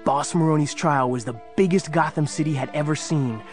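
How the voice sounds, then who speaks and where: A man's voice: fairly high pitch, a little fast, a little mellow, faint music behind. A man narrating a scripted story in a dramatic storytelling style.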